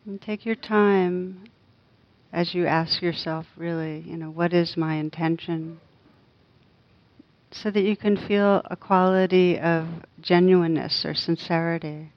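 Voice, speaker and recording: Female meditation teacher, lowish voice, very slow and gentle delivery with lots of space between phrases, slightly muffled recording. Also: steady tone. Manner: gentle, unhurried, long pauses between soft phrases. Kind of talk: guided meditation.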